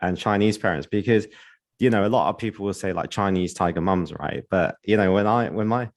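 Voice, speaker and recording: Male podcast host with a deep voice who asks curious, rambling questions. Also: a little fast. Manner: inquisitive, asking long questions. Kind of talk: casual interview chat.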